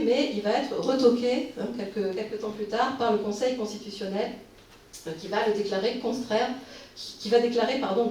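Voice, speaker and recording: A woman's voice: mid-range voice, a little mellow, recorded from a distance, slight background noise. A woman giving a public lecture, speaking hesitantly with many fillers and restarts. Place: conference hall. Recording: roomy hall recorded from afar.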